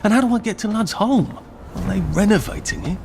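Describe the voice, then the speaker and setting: A creaky-voiced, fretful British everyman. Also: high-pitched, lively intonation, fairly quick, music in the background. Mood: puzzled. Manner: muttering to himself, wry. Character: polite, fretful, inquisitive everyman. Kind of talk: video game character acting.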